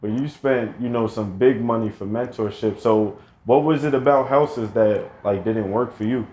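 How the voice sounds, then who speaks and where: A man hosts a podcast interview in a middle-pitched voice. He keeps the chat casual and encouraging, and he drops short affirmations between his questions. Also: moderate pace, a little mellow. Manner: encouraging, many short affirmations like "wow" and "mm-hmm". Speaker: podcast host.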